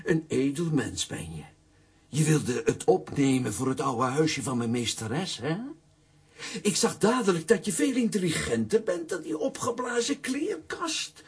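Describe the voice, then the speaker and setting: An actor voicing a talking old coffee pot in a children's audio drama, cheeky and wheedling, with a squeaky, husky voice. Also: very animated, a little slow, exaggerated voice. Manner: wheedling, whiny, theatrical.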